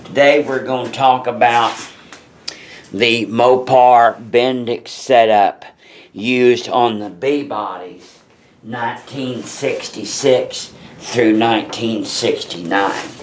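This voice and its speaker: A man speaks slowly and deliberately at an ordinary mid pitch, laying things out in a blunt, plain-spoken manner. His casual shop talk is caught on a camera mic near the workbench in an indoor parts workshop. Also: fairly even intonation. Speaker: parts seller explaining brakes.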